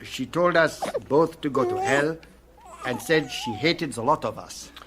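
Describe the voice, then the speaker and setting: A man's voice: mid-range voice, unhurried, natural voice. Reserved interpreter played by a man, noisy background. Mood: matter-of-fact. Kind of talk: radio drama acting.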